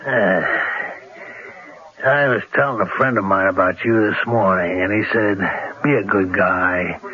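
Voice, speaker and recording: A threatening crime boss in an old radio drama. His low voice carries rough, colloquial street diction and a mocking menace. The broadcast sounds slightly muffled. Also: unhurried. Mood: menacing. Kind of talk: 1970s radio drama acting.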